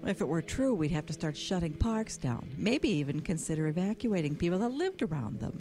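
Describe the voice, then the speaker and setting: Low-voiced, expressive woman scientist. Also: fairly quick, faint music behind. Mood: earnest, grave. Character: earnest woman scientist alarmed by a polluted lake's monster. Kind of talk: audio horror drama acting.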